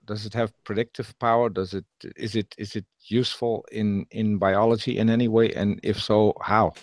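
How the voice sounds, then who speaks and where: A man from the Netherlands with a Dutch accent, hosting a casual debate in a halting, questioning way, often repeating words. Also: lowish voice, little pitch movement, no echo. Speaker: host.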